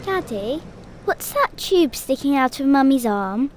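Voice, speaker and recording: Woman as a high-voiced, wistful young girl. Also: sing-song, measured pace, background noise. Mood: curious. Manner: simple, childlike, wistful. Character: young girl, sad, hopeful, daughter of a dying mother. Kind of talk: audio drama monologue and dialogue.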